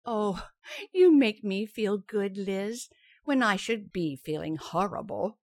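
A woman's voice: medium pitch, lively intonation, slow pace, a little mellow, dry recording, clean studio sound. An actress playing an anxious, grateful young expectant mother in an old-time radio sitcom, sweet and hesitant.

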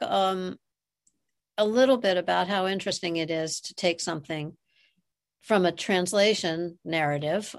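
A woman hosting a conversational interview, asking long, reflective questions in a halting way. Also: lowish voice. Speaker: podcast interviewer.

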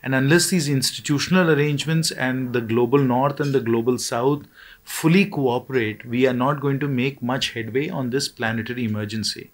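A man's voice: medium pitch, moderate pace. A man speaking as a panelist in a discussion, formal and policy-minded, with frequent hesitation fillers.

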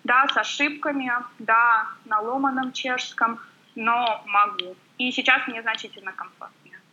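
A woman talks fast and freely as an interview guest, her voice very bright and sharp with a slightly raised pitch. She fills pauses with little hesitation sounds. The audio is dull and lo-fi, like a remote call. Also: fairly even intonation, a little hiss or hum. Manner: fast, chatty, many filler sounds. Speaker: guest blogger. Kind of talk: casual interview chat.

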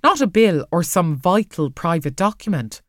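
A woman reads a story as an audiobook narrator. Her voice sits a little low and moves widely in pitch, expressive throughout, in a dry, close recording. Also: normal speed, dry recording.

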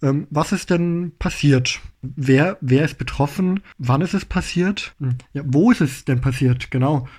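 Radio play chief detective, played by a man: husky, hesitant, stammering know-it-all, flustered under pressure. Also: fairly high pitch, measured pace, a little mellow. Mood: flustered, unsure. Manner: hesitant, stammering, fillers everywhere. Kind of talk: amateur youth radio play acting.

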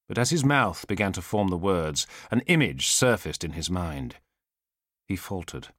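A man narrates a thriller audiobook in a deep voice that moves widely in pitch. His delivery is measured and solemn, building suspense with weighted pauses. The sound is dry and close, with no room around it. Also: lively intonation, a bit bright, no room sound.

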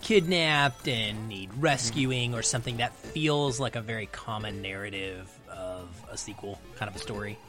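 A man's voice: on the low side, normal speed, few pauses, over music. A man speaking as a podcast guest in casual film discussion, thinking aloud as he goes.

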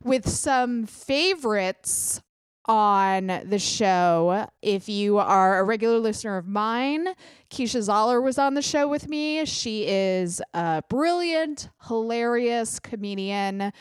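A woman's voice: medium pitch, clean tone, dry recording, clean studio sound. Chatty congested woman podcast host. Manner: chatty, self-deprecating, quick asides. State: congested, clogged ear. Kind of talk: casual solo podcast chat.

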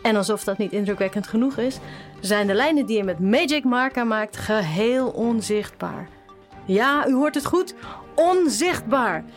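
A woman's voice: on the high side, animated, normal speed, faint music behind, lightly acted. A woman playing a persuasive advertiser proclaiming a product in a mock commercial for a radio play. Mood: salesy, grand. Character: grandiose advertiser selling a magic marker, woman.

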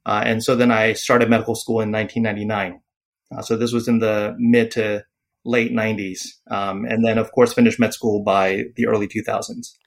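A man's voice: fairly low pitch, little pitch movement, normal speed, gravelly. Hesitant man, psychiatrist podcast guest. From Taiwan. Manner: hesitant, many fillers and restarts. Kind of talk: podcast interview.

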